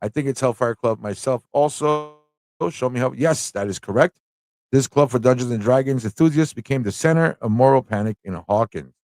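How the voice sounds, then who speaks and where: A man's voice: medium pitch, normal speed, a little mellow. A man from the United States hosting a live trivia quiz, chatting casually with viewers and repeating questions as he reads them out. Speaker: livestream host running a trivia quiz.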